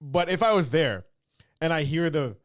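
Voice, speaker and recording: A man from Canada hosting a casual podcast chat, quick and joking, often dropping into impressions. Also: fairly high pitch, lively intonation, no echo, clean studio sound. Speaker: podcast host.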